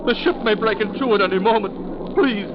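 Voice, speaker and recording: A man's voice: high voice, brisk, lightly acted. An actor playing a desperate, obsessed man in a 1940s radio drama, pleading and stammering frantically. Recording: very noisy, muffled old radio recording.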